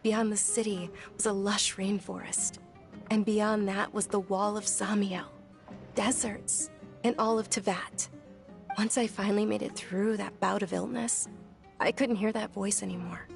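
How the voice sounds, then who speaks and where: A woman voicing a gentle, earnest young woman who speaks in a soft, reflective way in a video game. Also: medium pitch, fairly even intonation, measured pace, creaky, faint music behind. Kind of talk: game voice acting.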